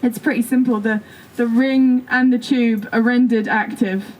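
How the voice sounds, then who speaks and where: A woman's voice: on the high side, fairly even intonation, measured pace, a little mellow, poor audio. A woman giving a live performance reading of stories and texts in a theatrical storytelling manner. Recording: music behind the voice. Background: music.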